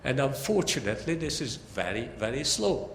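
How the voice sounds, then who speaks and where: A man's voice: mid-range voice, sing-song, moderate pace, gravelly. Echoey older man lecturing. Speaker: academic lecturer, professor. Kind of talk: technical academic lecture. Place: large lecture hall. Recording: echoey hall with long reverb, background noise.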